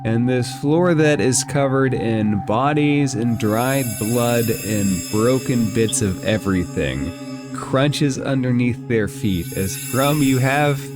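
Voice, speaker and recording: A man narrates a tabletop fantasy game as its game master. His pace is slow and his pitch sits in the middle. Faint music plays behind him.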